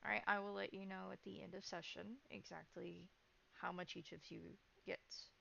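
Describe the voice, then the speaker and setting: A woman's voice: mid-range voice, level tone, moderate pace, creaky, sharp tone, muffled recording. A woman narrating and making rulings as game master of a tabletop vampire game, in a conversational, descriptive and decisive way.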